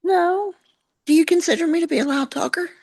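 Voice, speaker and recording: A soft-spoken American woman co-hosting a podcast, chatting gently and agreeably. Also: extremely high pitch, a little fast. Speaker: podcast co-host. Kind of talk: casual chat.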